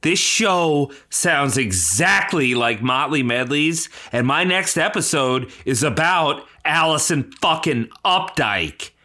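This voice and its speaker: A man's voice: on the high side, animated, slow, hardly any pauses, bright, forceful tone, full character voice. A man playing an indignant, paranoid podcast host, ranting profanely and melodramatically in a scripted comedy podcast. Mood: outraged disbelief. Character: indignant, paranoid male podcast host, foul-mouthed and exasperated.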